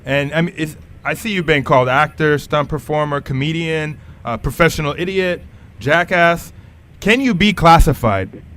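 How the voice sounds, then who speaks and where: Higher-pitched male radio interviewer. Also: moderate pace, fairly bright tone, slight background noise. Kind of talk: casual radio interview and announcements. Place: radio studio.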